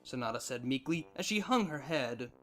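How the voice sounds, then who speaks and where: A narrator reading fan fiction aloud in an expressive scripted storytelling style. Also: medium pitch, normal speed, faint music behind.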